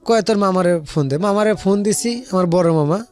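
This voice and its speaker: A man's voice: sits high, a little fast, darkish tone, faint music behind. A man with a Bangladeshi accent telling a personal story in casual, colloquial speech, rambling and repeating phrases as he quotes conversations. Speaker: guest telling their life story.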